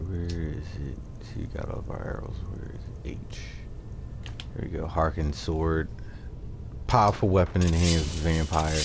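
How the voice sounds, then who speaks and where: A man's voice: very deep voice, noisy background. A man giving casual, informal gameplay commentary, often muttering to himself as he plays. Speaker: gamer commentating a playthrough. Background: video game ambience.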